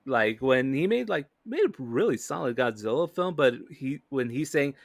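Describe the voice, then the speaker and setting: A man's voice: mid-range voice, very animated, moderate pace, creaky, dry recording, clean studio sound. A man chatting casually about films as a podcast co-host. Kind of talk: casual film discussion.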